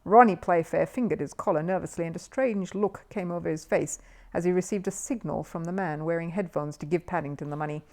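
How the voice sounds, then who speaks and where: A woman reading a children's book aloud as an expressive storyteller. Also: fairly low pitch, fairly quick.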